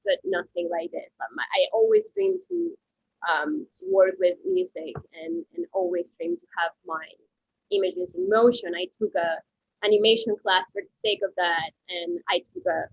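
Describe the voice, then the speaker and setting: A woman from Venezuela, a painter and art educator, answering interview questions at home in a halting way, with many restarts. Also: mid-range voice, vocal fry, slightly rough, dry recording, highs cut off.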